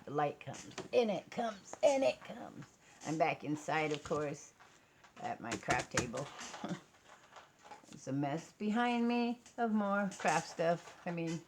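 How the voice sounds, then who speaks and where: An American woman with a low-pitched voice talks casually to her vlog audience. She is chatty and self-deprecating, drifting between asides. The home recording carries faint background noise. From United States. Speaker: vlogger and crafter. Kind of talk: casual rambling vlog to camera. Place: indoors at a craft table.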